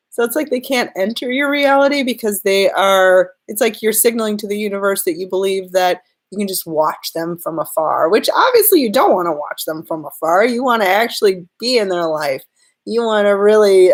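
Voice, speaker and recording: A woman with a slight cold giving earnest, direct relationship advice to her viewers in a live-streamed talk. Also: medium pitch. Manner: earnest, direct advice spoken straight to the listener. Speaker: relationship and law-of-attraction coach.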